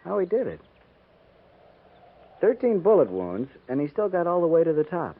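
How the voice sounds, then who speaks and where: An actor playing an earnest, deferential junior cavalry soldier in a 1950s radio drama. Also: male voice, a little high, big pitch swings, moderate pace. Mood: baffled, subdued. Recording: tinny, noisy 1950s radio broadcast.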